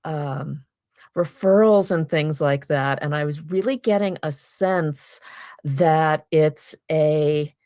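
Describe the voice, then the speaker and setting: A woman's voice: low-pitched, slow pace, mellow, no echo, clean studio sound. A woman making a thoughtful, casual comment, searching for her words. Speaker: audience participant.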